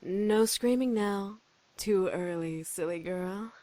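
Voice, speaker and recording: A woman playing a sly, condescending, mysterious seductress in a visual novel, teasing in a hushed, menacing way. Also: mid-range voice, slow, lightly acted.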